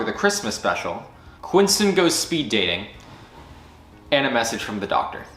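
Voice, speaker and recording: Eager rambling man, bright voice. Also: medium pitch. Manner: rambling and eager, with frequent restarts and self-corrections. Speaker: channel creator recapping the year. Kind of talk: casual solo recap to camera. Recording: echoey room.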